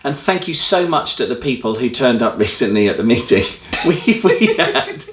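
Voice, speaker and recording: A man with a mid-pitched voice presents church news in an echoey room. His delivery is informal and enthusiastic, like a leader updating his congregation. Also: big pitch swings, moderate pace, a little hiss or hum. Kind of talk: informal announcements to camera. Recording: roomy indoor echo.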